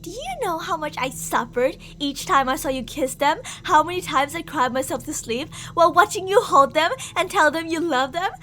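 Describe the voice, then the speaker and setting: A woman's voice: high voice, moderate pace, few pauses, bright, forceful tone. A woman playing an obsessively jealous, murderous stalker lover in an ASMR roleplay, theatrical and possessive, swinging between coaxing and menace. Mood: anguished, aggrieved. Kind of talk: ASMR girlfriend roleplay acting. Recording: audible background noise.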